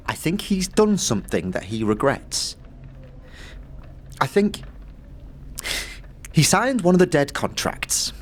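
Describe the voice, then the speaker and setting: An actress playing a timid, worried middle-aged working-class wife in an audio drama, speaking haltingly and repeating her words. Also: deep voice, breathy edge, exaggerated voice.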